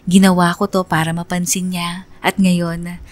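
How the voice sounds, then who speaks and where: A woman's voice: on the low side, level tone, a little slow, natural voice. A woman playing a lovestruck, conflicted young woman narrating her own story in a confiding radio drama style. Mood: guilty, torn. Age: young adult. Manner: confiding, earnest.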